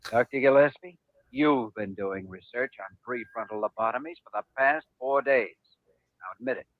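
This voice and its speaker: A man's voice: medium pitch, expressive, normal speed, no room sound, lightly acted. An actor playing an earnest, assured male doctor in a 1950s radio drama, speaking in a firm, clinical, persuasive way. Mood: challenging. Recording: old 1950s radio broadcast, muffled narrow band.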